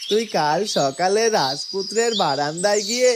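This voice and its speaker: Woman voicing a low-voiced gentle cartoon cow. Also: lively intonation, moderate pace, noisy background, lightly acted. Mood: fond, earnest. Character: gentle, devoted old talking cow in a fairytale.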